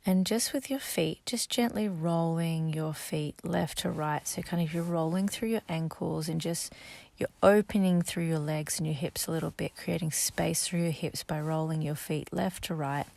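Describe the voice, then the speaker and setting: Low, gravelly-voiced woman guiding meditation. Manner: soft, gentle, slow and instructive, sometimes half-whispered. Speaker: meditation guide. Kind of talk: guided sleep meditation.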